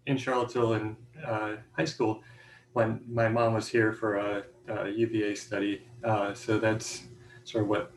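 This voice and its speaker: A man in his forties giving an informal self-introduction in a hesitant, halting way. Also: fairly low pitch, little pitch movement. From Hungarian-born, grew up in the Washington DC area. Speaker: meeting participant, architect. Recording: roomy echo with faint noise.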